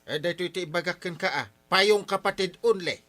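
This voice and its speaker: Casting: male actor for teasing village pal, bright sharp voice, fairly high, Ilocano accent. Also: fairly quick, a little hiss or hum. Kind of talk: audio drama acting.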